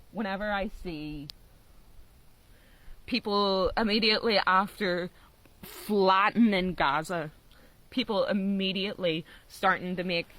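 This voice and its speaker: An Irish woman from Ireland giving a heartfelt spoken monologue outdoors on a mountainside, earnest and growing quiet and intense. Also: fairly low pitch, dry recording, low-quality recording. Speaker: social media creator. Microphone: phone recording.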